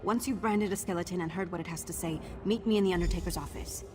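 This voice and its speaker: An actress playing a wry, morbidly curious young investigator in a video game, with a dry, understated and slightly awkward manner. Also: female voice, fairly low pitch, quick pace, music in the background, lightly acted. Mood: intent, matter-of-fact.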